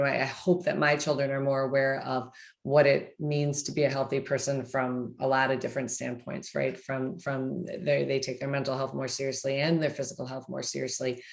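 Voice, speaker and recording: A middle-aged woman answers conversationally on a panel. Her voice has a marked creak, and she talks in a reflective, informal way. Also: rumbling low. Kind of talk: casual panel discussion.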